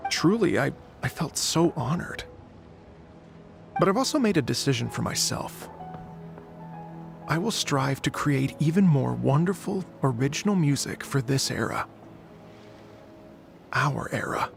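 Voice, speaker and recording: A man's voice: mid-range voice, animated, a little slow, creaky, long pauses. An actor voicing an earnest, effusive young male musician in a video game, speaking in a heartfelt way. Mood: honored, resolved. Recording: music under the voice.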